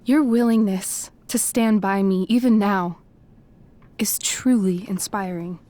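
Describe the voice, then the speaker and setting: A woman's voice: medium pitch, takes it slowly, dark tone, natural voice. An actress playing a poised, persuasive teenage schoolgirl with an earnest, slightly theatrical delivery in a video game drama.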